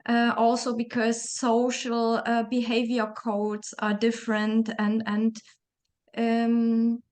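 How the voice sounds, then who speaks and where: A woman with a fairly high voice speaks slowly and thoughtfully, often pausing to search for her words. She is conducting a reflective interview. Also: monotone, a little mellow.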